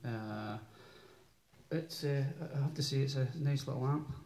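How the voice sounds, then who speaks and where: A man in his fifties with a very quiet voice, demoing an amp in a small room of an old house, talking casually with many ums and restarts. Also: medium pitch, measured pace. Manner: hesitant, full of ums and restarts. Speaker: musician demoing an amplifier. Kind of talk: casual product demo. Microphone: stand microphone through an amplifier with reverb. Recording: roomy, reverberant sound through an amp.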